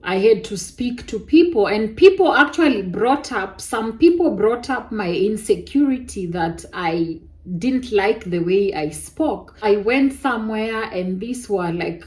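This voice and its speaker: Young woman, soft voice, slow and unhurried, personal-testimony vlogger tone. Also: mid-range voice. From Kenya. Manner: animated testimony with Kenyan interjections like "eh" and "kai". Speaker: vlogger telling a personal story. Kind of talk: casual storytelling to viewers.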